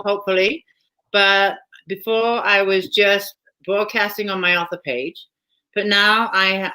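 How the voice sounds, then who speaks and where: A woman's voice: medium pitch, sharp tone, low-quality recording. A woman with a British accent hosting a casual live author chat from home, talking chattily and laughing often. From Britain. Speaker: host.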